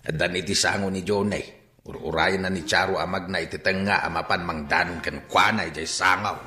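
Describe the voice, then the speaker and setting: A man's voice: low voice, fairly even intonation, fairly quick, pushed and bright, reverberant, soft background music. An actor with an Ilocano Filipino accent playing a grumbling, suspicious middle-aged father in a radio drama.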